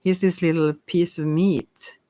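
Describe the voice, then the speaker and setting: A woman's voice: lowish voice, fairly even intonation, unhurried, mellow, no room sound. A middle-aged woman giving a casual, rambling tarot reading in a hesitant, candid, digressive way. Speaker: tarot reader.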